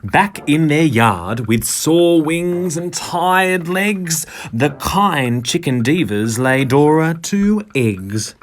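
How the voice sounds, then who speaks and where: A man reading a children's picture book in verse, with expressive, dramatic, rhythmic narration. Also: a little high, slow, few pauses, slight background noise.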